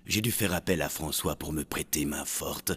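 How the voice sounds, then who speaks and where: A male actor voicing a deadpan, stiffly polite butler in a monster hotel cartoon. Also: rumbling low, moderate pace. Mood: dry, formal. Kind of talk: French cartoon dub acting.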